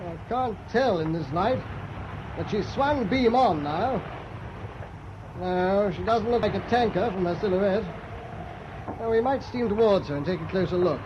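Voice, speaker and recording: An actor playing a brisk British destroyer captain in a radio drama, clipped, composed and commanding. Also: male voice, high voice, lively intonation. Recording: dull lo-fi radio recording, loud ship noise.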